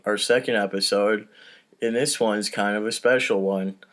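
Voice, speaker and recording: A man's voice: fairly low pitch, fairly even intonation, normal speed, fairly bright tone. Informal male podcast host, noisy recording. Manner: informal, self-deprecating, sweary. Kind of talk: casual, rambling podcast chat. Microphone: home mic on online voice call. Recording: noisy online voice-chat recording.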